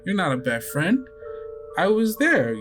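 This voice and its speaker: A woman voicing a gentle, reassuring young girl, a loyal friend, in a soft tabletop roleplay performance. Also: fairly low pitch, big pitch swings, measured pace, music in the background, voice pushed up. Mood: tender reassurance.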